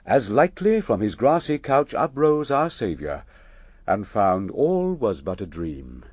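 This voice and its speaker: Male narrator, stately epic verse reading, unhurried, widely varied intonation, dry recording. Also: fairly low pitch, breathy edge, no room sound. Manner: stately, measured, expressive. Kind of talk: scripted dramatic verse narration.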